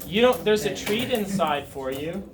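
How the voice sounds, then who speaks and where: Male teacher, thirties, dry near-monotone delivery with a slightly high voice, recorded from the back of an echoey classroom. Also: moderate pace, crowd noise. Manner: dry, self-described monotone, asks students questions. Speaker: chemistry teacher. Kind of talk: classroom review lesson. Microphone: far camera at the back of the room. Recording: roomy classroom sound from a distance with students around.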